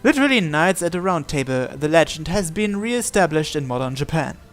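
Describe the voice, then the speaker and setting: A man's voice: fairly high pitch, big pitch swings, fairly quick, faint music behind. A man reading visual novel narration and dialogue aloud.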